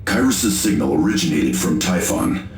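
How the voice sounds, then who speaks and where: A calm armored adviser reports matter-of-factly in a game cutscene. His voice is deep and roomy. Music plays behind him. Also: moderate pace.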